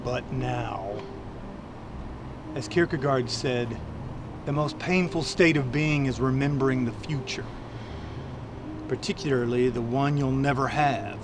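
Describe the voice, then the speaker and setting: A man's voice: medium pitch, unhurried, long pauses, close to an everyday voice. An actor playing a brooding, bookish young male ghost in a web series drama. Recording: outdoors, distant, clear traffic noise.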